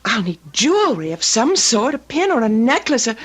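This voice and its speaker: Devoted, breathy young wife. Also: female voice, fairly high pitch, big pitch swings, moderate pace. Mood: anxious, reluctant. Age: young adult. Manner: tender, earnest, theatrical. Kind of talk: old-time radio drama acting. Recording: vintage radio drama recording.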